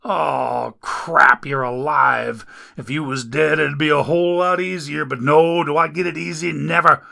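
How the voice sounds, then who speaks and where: A man voicing a griping, foul-mouthed male rescuer who grumbles loudly in folksy slang. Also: on the high side, steady tone, measured pace, creaky, heavily acted.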